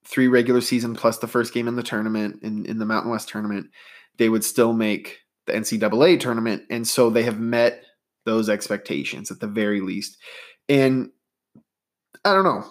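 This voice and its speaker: A man's voice: fairly low pitch, steady tone, normal speed. A man hosting a solo sports podcast, talking in a rambling, conversational way with a stuffy nose. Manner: conversational, rambling, data-minded. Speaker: podcast host.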